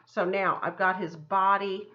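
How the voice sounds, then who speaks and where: A woman's voice: medium pitch, steady tone, unhurried. A woman art teacher giving children a step-by-step craft lesson in simple, encouraging words, often thinking aloud, on a dull lo-fi recording.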